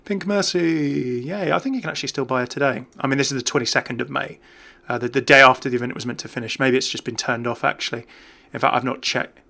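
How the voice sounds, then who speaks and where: A man's voice: mid-range voice, quick pace, gravelly, few pauses, bright, forceful tone. A man giving casual, off-the-cuff game commentary as a YouTuber, chatting and thinking aloud as he goes. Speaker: gaming YouTuber.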